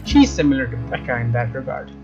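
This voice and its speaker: A man narrating a visual novel as a let's player, expressive and informal, with casual asides. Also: medium pitch, quick pace. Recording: dull lo-fi sound, music behind. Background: game music with guitar.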